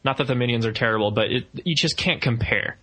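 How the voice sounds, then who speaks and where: A man with a bright, forward voice. His pitch sits in the middle of the male range. He talks as a podcast co-host, casually laying out his opinions in a film review. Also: fast talker.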